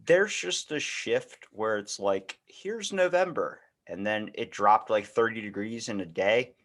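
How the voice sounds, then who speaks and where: A man's voice: lowish voice, animated, a little slow, fairly bright tone. Rambling American man chatting casually. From United States. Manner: rambling storyteller, acting out quoted exchanges. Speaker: podcast host. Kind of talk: casual conversational chat.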